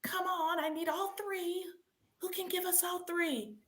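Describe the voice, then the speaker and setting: High, bright-voiced woman hosting a quiz. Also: a little slow. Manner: animated, encouraging, repeats her questions. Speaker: host of a children's read-aloud event. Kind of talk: live quiz hosting with chat callouts.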